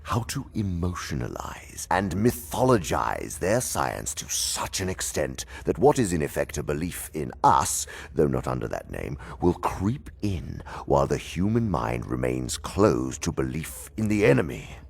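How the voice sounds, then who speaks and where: A man playing an older, pompous senior demon in an audio drama, lecturing his inferior with condescension and savouring his words in a gravelly voice. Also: a bass voice, big pitch swings, a little slow, hardly any pauses, exaggerated voice. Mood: lecturing, scheming. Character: senior demon uncle, cunning, condescending, theatrical schemer. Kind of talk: theatrical audio drama dialogue.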